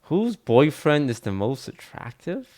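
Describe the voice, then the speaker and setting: A man's voice: on the high side, big pitch swings, unhurried, darkish tone. A man streaming, reacting to a video with dry, blunt, teasing remarks. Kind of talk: casual live-stream commentary.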